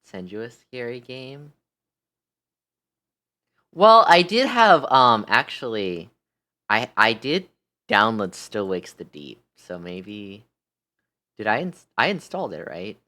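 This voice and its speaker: A man's voice: mid-range voice, very animated, slow, long pauses, pushed and bright. A tired man hosting a livestream, chatting casually and thinking aloud as he goes. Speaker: livestream host.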